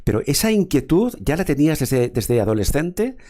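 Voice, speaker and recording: A man's voice: a little high, big pitch swings, normal speed, warm tone. A man from hosting a formal interview, speaking in a wordy way with frequent fillers and repeated words. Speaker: interviewer, show host.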